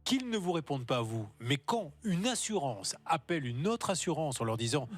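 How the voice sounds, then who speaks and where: Animateur masculin, voix assez haute, ton taquin et vif. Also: male voice, a little high, very animated. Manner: teasing, joking, brisk. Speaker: consumer-help show host. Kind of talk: lively studio phone-in talk.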